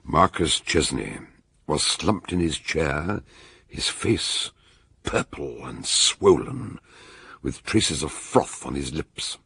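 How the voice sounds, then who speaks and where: An actor playing a grave, deliberate detective doctor in a radio mystery, with a gravelly, husky voice. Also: male voice, extremely low pitch, lively intonation, fairly bright tone, no room sound, exaggerated voice. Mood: grave narration. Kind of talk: radio mystery drama with first-person narration.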